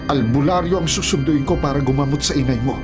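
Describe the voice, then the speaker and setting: A man's voice: fairly high pitch, fast talker, close to an everyday voice. A man playing a worried, protective old grandfather in a Filipino radio melodrama, earnest and fretful. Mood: serious. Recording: dramatic music behind.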